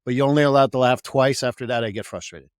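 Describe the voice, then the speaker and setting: A man's voice: medium pitch, fast, clean tone, no room sound, studio quality. Wry man with a Quebec French accent. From Montreal, Canada. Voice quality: says th as t. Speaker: podcast panelist, counselor. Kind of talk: casual self-introduction.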